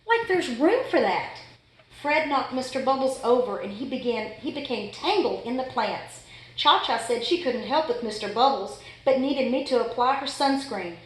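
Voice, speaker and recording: A woman's voice: fairly high pitch, lively intonation, moderate pace, lightly acted. A woman voicing an exasperated, fussy pet goldfish in a dramatic children's storybook read-aloud. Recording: echoey room.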